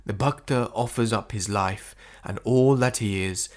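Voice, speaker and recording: A man reading spiritual prose as an audiobook narrator, phrasing it deliberately with frequent pauses. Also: fairly low pitch. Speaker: audiobook reader.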